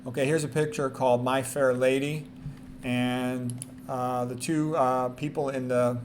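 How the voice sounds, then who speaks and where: Male presenter, mid-pitched, reads quotes and adds informal, emphatic asides; some background noise. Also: flat delivery, unhurried. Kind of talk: reading quotes aloud with informal commentary.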